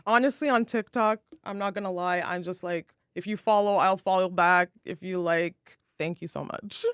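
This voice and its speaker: A woman's voice: fairly low pitch, steady tone, moderate pace, clean tone, no room sound, clean studio sound. A young adult woman co-hosting a casual podcast, chatting with lots of filler words. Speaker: podcast co-host. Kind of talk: casual chat.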